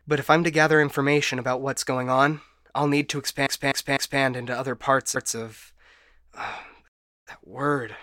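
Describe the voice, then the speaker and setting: A man's voice: medium pitch, bright, forceful tone, no room sound, studio quality. A man playing a broken, paranoid ship AI in a science fiction audio drama, stammering and getting stuck repeating words. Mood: halting, scheming. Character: frightened, broken male ship AI, paranoid and unstable.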